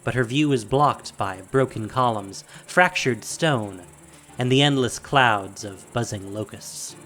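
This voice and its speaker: A man with a mid-pitched voice narrates dramatic fantasy as a scripted story. Faint music and insect sounds sit behind him. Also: unhurried, fairly bright tone, low-quality recording.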